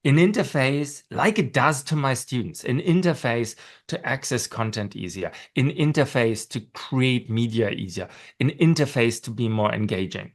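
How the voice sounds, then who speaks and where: A middle-aged man with a German accent, speaking as a panelist and course instructor in a relaxed webinar discussion from his office. He explains his points at length, often checks in with a quick "right?" and laughs at himself now and then. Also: medium pitch. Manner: explanatory, with frequent "right?" tags and self-deprecating laughs. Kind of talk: conversational webinar talk. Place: home office.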